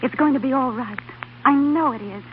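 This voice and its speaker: Radio drama role: actress as devoted young wife, high voice, folksy and fretful, very thin vintage broadcast sound with hiss. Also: female voice, brisk, lightly acted. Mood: coaxing, encouraging. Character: devoted, anxious, plucky young wife of a scientist. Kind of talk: old-time American radio drama acting. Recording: tinny, noisy vintage radio recording.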